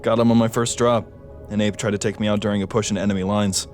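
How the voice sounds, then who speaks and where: Man playing a low-voiced, commanding space marine sergeant. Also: level tone, fast, darkish tone, natural voice. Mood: wry. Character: battle-scarred male space marine sergeant, defiant, commanding. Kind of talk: sci-fi audio drama acting. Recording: music behind the voice.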